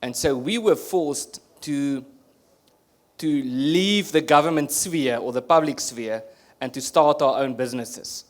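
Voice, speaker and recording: A man's voice: mid-range voice, unhurried. Earnest Afrikaans-accented man explaining. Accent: South African Afrikaans. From South Africa. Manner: earnest, explanatory, frequent restarts and fillers. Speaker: guest speaker. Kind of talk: panel discussion answer. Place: conference hall.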